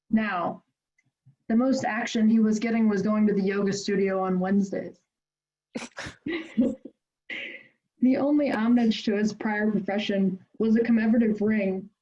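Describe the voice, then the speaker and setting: Brief: woman reading a short story, mellow tone, muffled call sound. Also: mid-range voice. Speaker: workshop participant. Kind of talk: reading her own short story aloud. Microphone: video call. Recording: dull lo-fi call audio.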